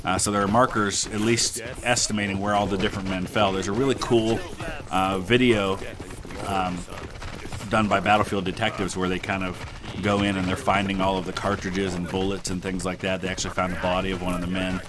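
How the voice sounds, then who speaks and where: A middle-aged man hosting a gaming channel, with a stuffed-up nose, rambling through a relaxed history commentary full of "uh" and "um". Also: deep voice, brisk. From Ohio, United States. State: stuffed-up nose from a sinus infection. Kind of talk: casual history commentary over gameplay.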